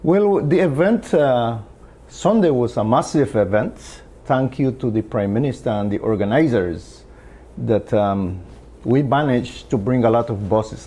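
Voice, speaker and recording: A man speaking earnestly as a political candidate in a studio interview, with some halting starts. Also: mid-range voice, dark tone.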